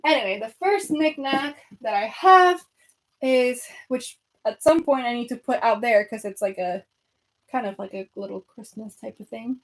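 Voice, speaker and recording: A young woman with a Venezuelan accent talks to her viewers in a loose, rambling way, full of fillers and restarts. Her voice sits a bit high for a woman. She is at home, sorting books on her shelves as she talks. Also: moderate pace, low-quality recording. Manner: chatty, rambling, lots of fillers. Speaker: book vlogger. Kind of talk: casual chat with live viewers.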